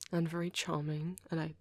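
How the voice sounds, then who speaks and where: A woman voicing a shy, awkward young vampire boyfriend in an ASMR roleplay, hesitant and rambling, with a soft, breathy delivery. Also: low-pitched, no room sound. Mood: flustered, affectionate. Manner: hesitant, halting, rambling, nervous.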